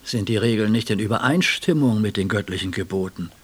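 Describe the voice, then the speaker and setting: An actor playing an educated, sceptical German jurist in a radio play, talking in a measured, bookish, questioning way with a gravelly, husky voice. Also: male voice, on the low side. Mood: sceptical, thoughtful.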